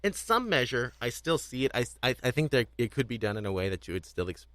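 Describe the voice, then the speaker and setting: A man's voice: mid-range voice, big pitch swings, quick pace, a bit bright, no room sound. A man co-hosting a casual podcast discussion, talking in a rambling, self-repeating way with a readiness to chuckle. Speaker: podcast co-host.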